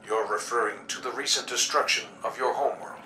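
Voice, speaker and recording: Brief: formal sci-fi newscaster for a game, crisp forceful tone, roomy broadcast sound. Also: male voice, medium pitch, steady tone, fairly quick. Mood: courteous, probing. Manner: formal, polished. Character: polished, earnest sci-fi city news reporter. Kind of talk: formal broadcast news reporting, game acting. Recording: echoey, distant, faintly noisy broadcast.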